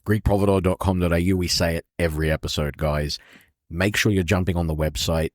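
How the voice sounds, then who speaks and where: Very deep Australian host, chatty. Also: male voice, fairly even intonation, brisk, no echo, clean studio sound. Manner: chatty, laughs easily, reads plugs. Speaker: podcast co-host. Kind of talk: casual podcast chat with sponsor reads.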